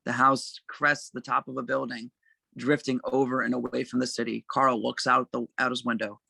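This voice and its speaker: A man reads scene headings and action lines as narrator of an amateur table read. His mid-pitched voice is bright with a creaky edge, recorded fairly dry. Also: flat delivery, normal speed, no room sound, rough recording. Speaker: narrator reading stage directions.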